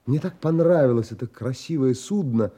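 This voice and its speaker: An actor playing a pensive, dreamy, unsettled gentleman who narrates an audio play in measured, dramatic phrases. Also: medium pitch, expressive, breathy edge, faint music behind. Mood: pensive, wistful.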